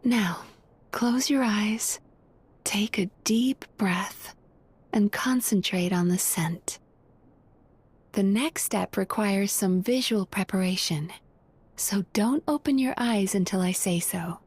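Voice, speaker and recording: An actress playing a gentle, playful young dream therapist in a video game, speaking in a soft, breathy, coaxing and reassuring way. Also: female voice, mid-range voice. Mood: hushed, soothing. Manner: coaxing, unhurried, calmly reassuring. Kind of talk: soft, soothing guided-hypnosis game dialogue.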